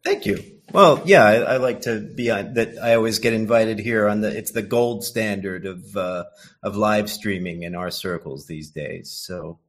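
Low-voiced American man, rambling guest. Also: a little slow. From United States. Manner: rambling, stammering restarts, many uhs. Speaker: guest writer and editor. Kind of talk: casual interview chat.

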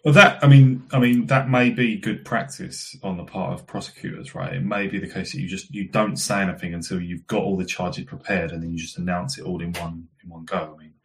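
Hoarse low-voiced man chatting. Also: fairly even intonation, fairly quick, hardly any pauses. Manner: chatty, many fillers and restarts. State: hoarse, losing his voice. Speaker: guest commentator. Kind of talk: casual podcast discussion.

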